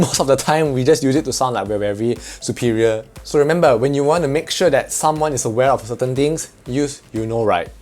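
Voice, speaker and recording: Man, Malaysian English accent, casual vlogger, wry and joking, middle pitch, soft music behind. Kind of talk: casual explanatory vlog.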